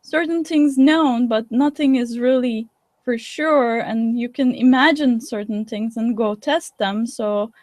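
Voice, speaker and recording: A woman's voice: fairly high pitch, a little mellow, dry recording. Woman, dry close sound. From Turkey. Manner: thoughtful, measured, pauses with fillers and restarts. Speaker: guest scientist. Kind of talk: interview answers. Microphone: video call.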